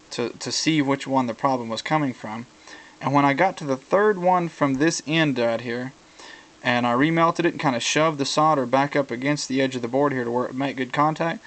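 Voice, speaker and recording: A man talking casually through a hands-on tutorial, explaining things in a halting way as he thinks aloud. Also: medium pitch, fairly bright tone. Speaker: hobbyist video presenter.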